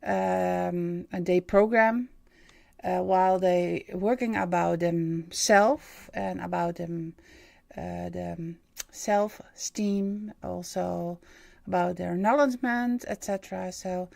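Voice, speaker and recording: She is a Dutch woman in her fifties speaking English as a second language, and she takes her time with plenty of hesitations. Her voice has a gravelly edge, and it sits close and dry on the mic. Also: fairly low pitch, fairly even intonation, a little mellow, dry recording. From Netherlands. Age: 50s. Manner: halting, many ums and uhs, searching for English words. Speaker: livestream host and medium. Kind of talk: hesitant livestream monologue.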